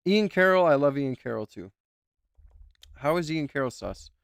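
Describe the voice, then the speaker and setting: A man's voice: a little high, animated, normal speed, vocal fry, smooth, dry recording. A man commenting as a YouTuber, talking in a rambling, emphatic way and repeating himself. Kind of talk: casual reaction commentary.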